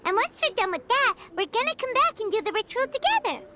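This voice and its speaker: Brief: high, bouncy, eager little fairy sidekick for a game. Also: female voice, sing-song, quick pace, noisy background. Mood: chirpy. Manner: bubbly, upbeat, eager. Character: tiny chirpy female fairy companion. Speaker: player's guide companion. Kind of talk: video game dialogue. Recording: loud game music behind. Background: game music.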